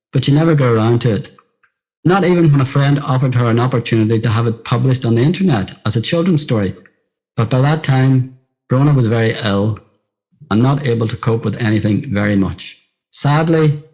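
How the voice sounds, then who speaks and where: A man's voice: mid-range voice, darkish tone, rough recording. A middle-aged man with a Northern Irish accent reads his own scripted personal story in a simple, plain-spoken storytelling manner. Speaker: writer reading own story.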